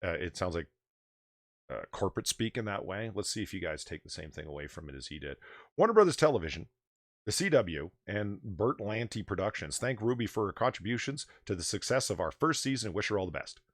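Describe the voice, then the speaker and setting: A man hosting a live stream, booming and blunt, swearing freely as he rants through the news. His voice sits low but leaps around in pitch, and the sound is dry and close. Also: sing-song, brisk, no room sound. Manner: booming, profane, ranting. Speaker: host of a live comics news show. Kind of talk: opinionated live-stream commentary.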